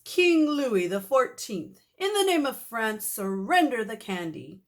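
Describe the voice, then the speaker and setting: A woman voicing a pompous man who plays a king, making loud, grand proclamations. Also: fairly high pitch, sing-song, measured pace, voice pushed up. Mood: proclaiming.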